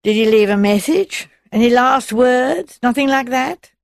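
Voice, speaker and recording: A woman's voice: fairly high pitch, a little slow, dry recording, studio quality, voice pushed up. A woman voicing a stout, jovial, pushy middle-aged man in an audiobook, hearty and colloquial. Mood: sighing, probing. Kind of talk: audiobook character voice.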